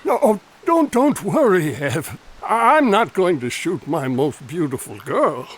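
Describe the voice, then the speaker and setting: An actor playing a dying man in his seventies in a radio drama, halting and pleading as he goads an old flame. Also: sits high, very animated, husky, exaggerated voice. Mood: goading. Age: 70s. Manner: halting, pleading, chuckling through his words.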